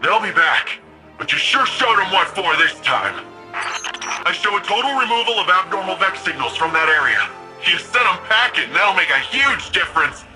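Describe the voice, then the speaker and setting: Casting: keen, colloquial sci-fi game comrade on radio, lively and high-pitched, cheering the player on. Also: male voice, moderate pace, over music, rough recording. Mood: upbeat, triumphant. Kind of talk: video game mission dialogue.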